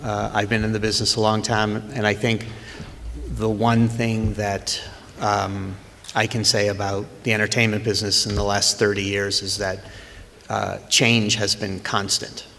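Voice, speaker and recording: A man moderating an industry panel, talking in a halting way with many fillers. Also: lowish voice, little pitch movement, creaky, bright, forceful tone. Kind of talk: conference panel talk. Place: conference hall. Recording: reverberant hall, faint room noise.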